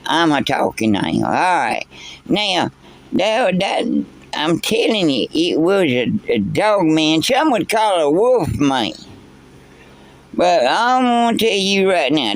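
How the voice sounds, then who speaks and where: A drawling old backwoods codger, as a man puts him on. He talks slow and a touch high, meandering through his yarn and stumbling over the same words again and again. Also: no room sound, exaggerated voice. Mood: scolding. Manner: rambling, folksy, stumbling over repeated words. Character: old backwoods man spinning a tall tale. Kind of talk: character storytelling.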